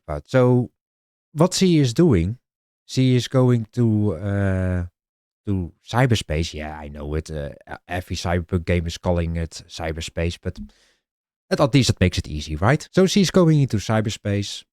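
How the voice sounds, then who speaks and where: A man with a Dutch accent pitching his indie game idea in a casual vlog, halting and restarting often and clearing his throat. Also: a little mellow, dry recording, clean studio sound. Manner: halting, many restarts and filler sounds.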